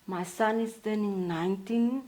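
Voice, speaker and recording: South African woman, steady and unhurried. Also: medium pitch. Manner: steady, matter-of-fact, with frequent pauses and fillers. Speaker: podcast guest, counselor at a support organization. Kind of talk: casual interview talk.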